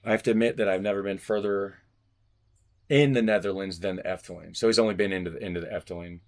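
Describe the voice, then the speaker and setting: A man's voice: low-pitched, fast talker, darkish tone. A man vlogging at home, reading a fan letter aloud and joking casually between passages. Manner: reads stiffly, then jokes loosely between passages. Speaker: vlogger unboxing fan mail.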